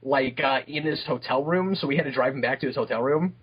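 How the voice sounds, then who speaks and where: A young man talking casually as a podcast guest, lively and hesitant, with lots of "like" and repeated words. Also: medium pitch, fast, breathy edge. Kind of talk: casual chat. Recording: lo-fi audio.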